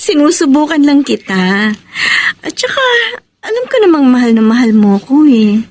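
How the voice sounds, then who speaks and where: A woman playing a kind, candid young sweetheart in a radio drama, gentle and a little hesitant, with a Filipino accent. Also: high-pitched, very animated, unhurried, heavily acted. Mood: affectionate. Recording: music under the voice.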